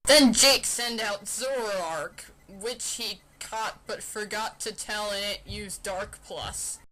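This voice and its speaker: A woman's voice: medium pitch, takes it slowly, crowd noise, rough recording. A woman doing an amateur, playful mock-dramatic story reading with casual asides. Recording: faint fan noise behind.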